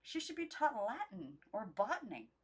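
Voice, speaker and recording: A woman voicing a prim, fanciful old-fashioned lady doll in a storybook, genteel and quick to correct. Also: a little high, huge pitch swings, breathy edge, voice pushed up, full character voice. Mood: disapproving.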